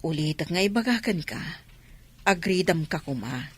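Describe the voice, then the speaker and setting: An actress in an Ilocano radio drama playing a concerned, suspicious younger sister who insistently warns. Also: female voice, lowish voice, animated, moderate pace, husky, dry recording.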